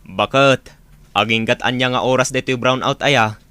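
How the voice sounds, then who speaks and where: Man acting a bright-voiced, weary Ilocano father. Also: mid-range voice, steady tone, moderate pace, clear, resonant tone, no room sound, lightly acted. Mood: grumbling. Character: weary, grumbling father looking for work. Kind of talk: Filipino radio comedy drama acting.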